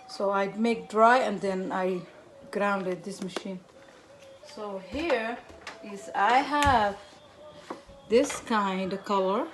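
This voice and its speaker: A woman with a Somali accent talks viewers through a home cooking demonstration in a casual, chatty way, her English halting and mixed with Somali. She stays at a middle pitch. Clear background noise runs behind her in the kitchen. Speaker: cooking channel host.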